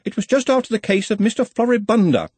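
Man putting on a fussy, high-pitched wizard assistant. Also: big pitch swings, fast, darkish tone, breathy edge, no echo, full character voice. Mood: fussy explaining. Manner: fussy, deferential. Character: anxious, pedantic male wizard assistant, deferential and long-suffering.

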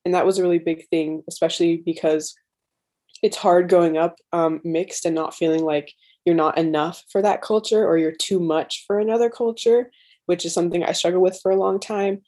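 A woman's voice: on the low side, steady tone, normal speed, warm tone. A teenage girl, a student on a panel, sharing a personal story earnestly with frequent "like". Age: teens. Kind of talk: casual reflective sharing.

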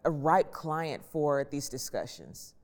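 A woman's voice: low voice, sing-song, slow, a little mellow. A woman hosting a panel interview, putting questions in a conversational way. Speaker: host and moderator. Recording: long echoing reverb.

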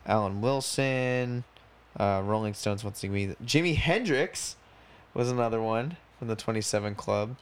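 Smooth-voiced young man, rambling casual talk. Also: lowish voice, unhurried. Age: 20s. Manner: rambling, slangy, swears freely. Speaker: podcast co-host. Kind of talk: casual chat.

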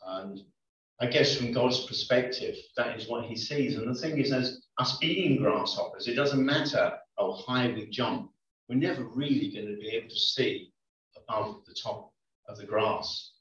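A man preaching a conversational sermon in a church, often pausing with ums. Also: medium pitch, fairly bright tone. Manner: conversational, hesitant with many ums. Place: church hall. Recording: echoey lo-fi church recording from far off.

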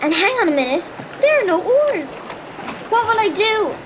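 A plucky, adventurous Irish girl in a fairy-tale audio drama. Her voice is extremely high and swings in a lively way, with clear ambient sound around it. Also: normal speed, background noise. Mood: breathless, determined.

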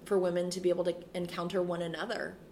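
A woman in her thirties, a priest and school chaplain, talking in a relaxed interview with a soothing, reflective manner. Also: on the low side, fast, a little hiss or hum. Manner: frequent fillers and restarts, laughs easily. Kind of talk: casual interview conversation.

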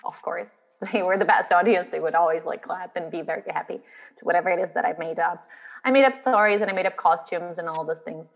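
A woman's voice: on the low side, fast, gravelly, long reverb tail, low-quality recording. A woman from Brazil, a drag artist and guest, telling her story in a chatty interview, laughing now and then. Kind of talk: interview chat.

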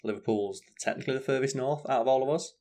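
A man's voice: medium pitch, quick pace, mellow. An English man co-hosting a live stream from home, chatting casually in a rambling way and swearing freely. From England. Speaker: co-host.

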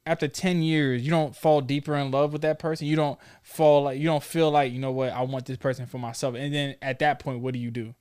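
A man's voice: mid-range voice, fairly even intonation, brisk, hardly any pauses, a little mellow, clean tone. A man in his thirties hosting a casual conversation, talking in an informal, slangy, probing way. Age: 30s. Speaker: talk show host.

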